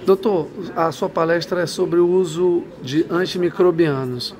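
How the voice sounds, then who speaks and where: A man's voice: sits high, measured pace, darkish tone. A Brazilian man interviewing a doctor, asking questions hesitantly and repeating words. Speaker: blog interviewer.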